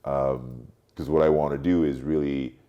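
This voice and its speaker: A man in his late forties who grew up in Europe, speaking reflectively as an interview guest, haltingly, thinking aloud and repeating words. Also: a bass voice, fairly even intonation, warm tone, lo-fi sound. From Europe, mostly France, born in Cameroon. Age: late 40s. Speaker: podcast guest, marketing strategist.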